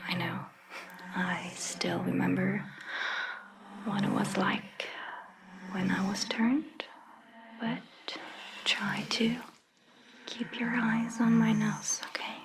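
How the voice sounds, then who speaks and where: A woman whispering gently in an ASMR roleplay as a caring vampire doctor, reassuring and a little hesitant. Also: mid-range voice, creaky, noisy background. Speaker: ASMR performer.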